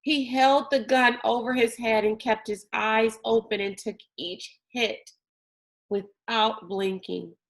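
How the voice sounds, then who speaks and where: Unhurried woman reading a storybook aloud. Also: fairly high pitch, fairly even intonation. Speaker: reader of a children's novel read aloud. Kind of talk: scripted storybook narration.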